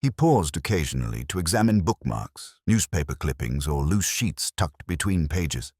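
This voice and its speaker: A man's voice: rumbling low, big pitch swings, normal speed, darkish tone, slightly rough, no room sound, clean studio sound. A man reading scripted narration of a detective story as an audiobook narrator.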